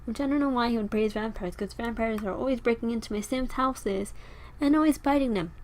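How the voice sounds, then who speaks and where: Young woman, a bit raspy from a sore throat, higher voice, chatty gameplay commentary, faint typing in the background. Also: fairly quick, darkish tone, slight background noise. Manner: chatty, rambling, talks to her game characters. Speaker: gameplay video host.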